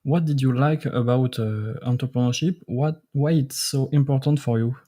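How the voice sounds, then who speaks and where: A man, a native French speaker, hosting a podcast and asking questions in careful, slightly halting English. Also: mid-range voice, dark tone. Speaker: podcast host. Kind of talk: interview questions.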